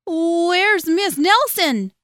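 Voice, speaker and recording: A woman voicing mischievous, excitable schoolchildren in a storybook, calling out loudly. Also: extremely high pitch, drawn-out words, no room sound, studio quality, pitched above the performer's own voice, heavily acted. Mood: puzzled. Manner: yelling, excitable.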